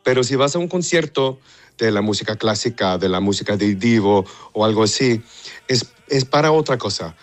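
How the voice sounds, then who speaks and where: A man from Texas, half Mexican, an opera singer answering interview questions in Spanish with pauses, repeated words and stray English. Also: fairly low pitch, expressive. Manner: hesitant, searching for Spanish words, mixes in English.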